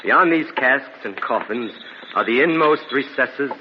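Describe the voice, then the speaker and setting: A man's voice: medium pitch, expressive, measured pace, close to an everyday voice. An actor playing a vengeful, grieving and slyly courteous nobleman in an old-time radio gothic drama. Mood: falsely solicitous. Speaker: narrator and lead actor. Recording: muffled, noisy old radio recording.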